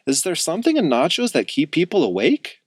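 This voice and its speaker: A man playing a timid storybook boy with a very high, squeaky voice that shoots up when he frets. He hesitates and whimpers, heard dry and up close. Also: huge pitch swings, a little fast, breathy edge, no room sound, full character voice. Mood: hesitant. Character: nervous, timid young boy.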